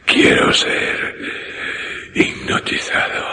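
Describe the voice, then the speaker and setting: Feeble dying man, painfully slow. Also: reverberant, noisy background. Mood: feeble, agonised. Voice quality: breathy, faint, strained. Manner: halting, broken word by word. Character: dying male patient, feeble, speaking haltingly. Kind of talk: Spanish radio horror drama acting.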